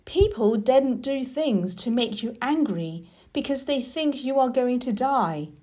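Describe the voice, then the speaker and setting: A woman's voice: sits high, animated, measured pace, a little mellow. A woman voicing a frank, scolding young girl in a storybook, in a blunt, matter-of-fact way. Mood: blunt, reproving.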